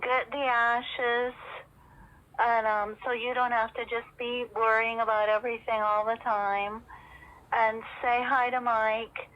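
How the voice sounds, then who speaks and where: Higher-voiced woman on a dull phone line. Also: level tone, measured pace. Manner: rambling, hesitant. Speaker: caller in a personal phone conversation. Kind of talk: casual private phone chat. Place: telephone call. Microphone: telephone. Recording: dull lo-fi phone call recording.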